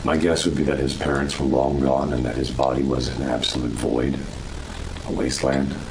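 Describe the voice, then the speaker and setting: A man playing a grave, ominous investigator of possessions, speaking in a measured, matter-of-fact way in a horror audio drama. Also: little pitch movement. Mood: grave, speculative. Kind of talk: first-person horror audio drama monologue. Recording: loud rumbling ambient noise behind.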